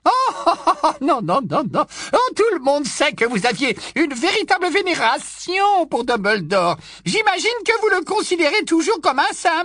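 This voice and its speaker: A man voicing a nosy, cackling aunt over a hundred years old, with a shrill squawking voice that keeps repeating "no, no, no". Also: sharp tone, no room sound, studio quality, heavily acted. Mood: gossipy. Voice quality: shrill, high squawk, endlessly repeating "non, non, non". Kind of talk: storybook reading.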